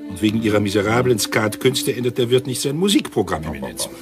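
An actor playing a stiff, chiding colonel in a radio crime play, curt and ironic. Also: male voice, on the low side, sing-song, quick pace, over music. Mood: reproving.

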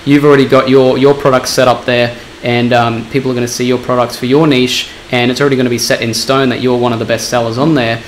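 A man's voice: mid-range voice, little pitch movement, quick pace, hardly any pauses, a little hiss or hum, low-quality recording. A young man in his twenties interviewing a guest over a video call from a small room at home, encouraging and full of fillers like "you know" and "um". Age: 20s. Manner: encouraging, filler-heavy, repeats phrases. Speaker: interviewer and e-commerce coach. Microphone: video call webcam.

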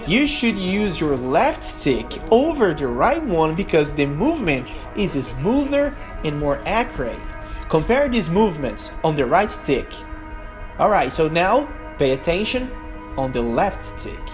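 A young man from Brazil with a Brazilian Portuguese accent, speaking English as a second language as he narrates a gaming tutorial in an earnest, emphatic way. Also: sits high. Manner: earnest, emphatic, non-native phrasing. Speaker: gaming youtuber. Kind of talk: gameplay tutorial voice-over.

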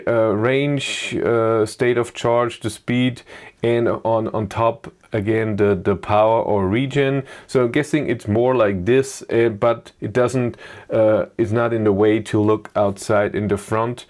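Middle-aged German-accented vlogger, talks loosely with many "uh"s. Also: male voice, medium pitch, takes it slowly, darkish tone. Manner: thinking aloud with fillers and restarts. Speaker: YouTube car reviewer. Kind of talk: casual commentary over slides. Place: small dim indoor room.

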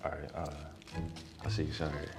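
A man playing a smooth-talking, deep-voiced rival suitor with a suggestive, slangy manner. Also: completely flat delivery, fast talker, music in the background.

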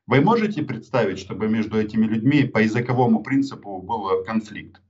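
Man, 30s, Ukrainian accent, lowish voice, emphatic, rhetorical, dull webcam sound. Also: animated, a little fast. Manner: forceful, emphatic, rhetorical questions. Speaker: journalist being interviewed. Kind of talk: heated interview on a video call. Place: indoor room with a poster on the wall. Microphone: webcam on a video call. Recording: dull lo-fi video call audio.

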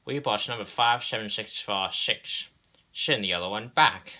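A man voicing a stern, deliberate railway director in a storybook, patient but grim and used to command. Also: fairly low pitch, sharp tone, voice pushed up. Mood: exasperated.

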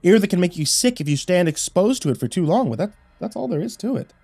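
A man performs a worried, exasperated husband in a horror story. He speaks urgently and emotionally, with very lively pitch that climbs above a normal talking voice. Faint music sits behind the dry recording. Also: moderate pace, slightly rough, no echo, heavily acted. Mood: anxious reassurance. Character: worried, exasperated husband pleading with his wife. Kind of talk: dramatic horror story dialogue.

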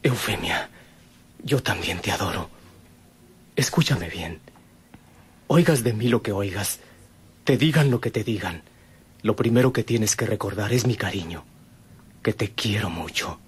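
An actor playing a tender, remorseful young man in love in a radio drama, speaking earnestly and intimately in a husky voice. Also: on the low side, lively intonation, a little slow, pauses often, slight background noise.

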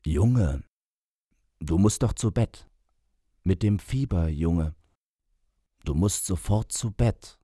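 A man voicing a clumsy, apologetic father who pleads with his son in a warm tone. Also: low-pitched, animated, slow, pauses often, breathy edge, dry recording, clean studio sound, full character voice. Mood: urging.

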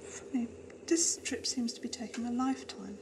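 A woman's voice: fairly high pitch, fairly even intonation, moderate pace, darkish tone, natural voice. A woman playing a wry, cynical scientist aboard a spaceship in a science-fiction audio drama, with a dry, sardonic manner. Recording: noisy amateur 1980s audio drama tape.

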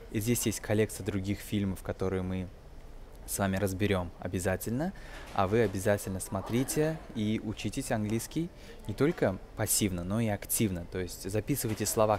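A man's voice: fairly low pitch, a little mellow, slight background noise. A man in his twenties giving a casual English lesson over a film, explaining hesitantly and switching into English for examples. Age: 20s. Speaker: English teacher, video host.

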